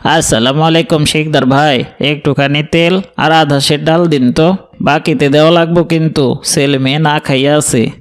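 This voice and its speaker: A man's voice: on the high side, steady tone, moderate pace, lightly acted. A man playing a humble, tired poor labourer with pleading, rustic speech in a cartoon. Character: humble, weary poor labourer begging for credit. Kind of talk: cartoon voice acting.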